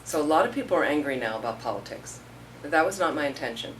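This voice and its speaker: A woman with a low voice gives a relaxed teaching talk, chatting through stories and slipping into the voices of the people in them. She is heard from across the room on a distant mic, with a faint hum of room noise behind her. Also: fast talker. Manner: conversational, storytelling with acted-out quotes. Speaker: Buddhist teacher. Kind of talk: informal dharma talk.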